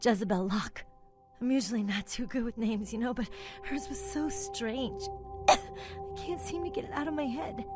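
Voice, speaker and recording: High-pitched, ailing young woman, hesitant game acting. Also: moderate pace, music in the background, lightly acted. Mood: weak, wistful. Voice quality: coughing, weak delivery. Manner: hesitant, rambling, confiding. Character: sick, woozy, vulnerable young woman with a wistful streak. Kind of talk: video game dialogue acting.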